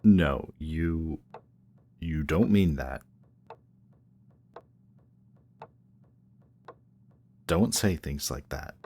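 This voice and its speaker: A man playing a grumpy, guarded villain who is secretly softhearted, speaking haltingly and defensively in an intimate one-sided roleplay audio. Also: very deep voice, full character voice. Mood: self-doubting, vulnerable. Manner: halting, defensive, reluctantly tender. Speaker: voice actor.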